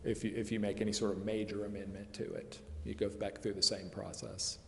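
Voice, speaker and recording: A man's voice: lowish voice, little pitch movement, brisk, vocal fry, a bit bright. A man explaining rules at a public meeting, carefully qualifying points and working through hypothetical examples. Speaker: consultant advising a city committee. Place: city council chamber. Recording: echoey meeting chamber, faint background noise.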